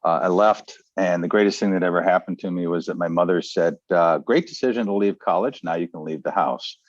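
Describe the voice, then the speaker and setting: A man's voice: deep voice, brisk, dry recording. A middle-aged man, an educator, reflectively telling his life story as a podcast guest. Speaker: educator and entrepreneur. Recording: dull, lo-fi audio.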